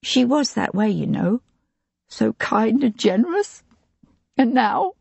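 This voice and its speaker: A woman voicing a plump, tearful older housekeeper who talks on anxiously and pleadingly, with a breathy voice. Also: fairly high pitch, big pitch swings, slow pace, darkish tone, dry recording, pitched above the performer's own voice, heavily acted.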